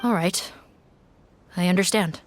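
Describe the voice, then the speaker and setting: An actress playing a stern, principled female officer in a video game, measured and serious in delivery. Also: on the low side, slight background noise, natural voice. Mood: cautious, subdued. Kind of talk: dramatic video game voice acting.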